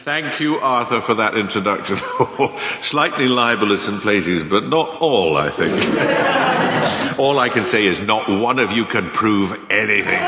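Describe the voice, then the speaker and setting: An actor playing a sardonic, cynical company manager giving a deliberate, rhetorical farewell speech in a radio play. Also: male voice, fairly low pitch, very noisy, full character voice. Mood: wry amusement. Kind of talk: radio play monologue, after-dinner speech. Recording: echoey hall with long reverb.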